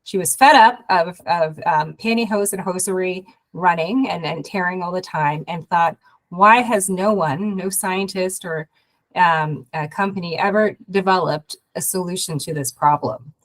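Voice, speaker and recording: A woman from Canada, hoarse from a cold, giving a halting webinar talk over a video call with many fillers and restarts. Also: fairly low pitch, low-quality recording. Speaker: guest speaker, investment program head. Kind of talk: webinar presentation.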